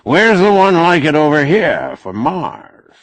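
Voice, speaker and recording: Man acting a crusty, bossy old man, lively delivery. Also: fairly high pitch, slow pace, no echo, voice pushed up, full character voice. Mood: brisk, demanding. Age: older. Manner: blunt, folksy, sardonic, commanding.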